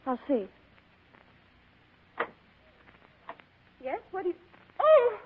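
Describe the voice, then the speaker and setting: An actress playing an anxious, excitable detective's secretary in 1940s radio style, on a tinny vintage broadcast. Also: female voice, very high-pitched, normal speed, heavily acted. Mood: frightened. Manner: excitable, startled, eager. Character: anxious, breathless young female detective's secretary.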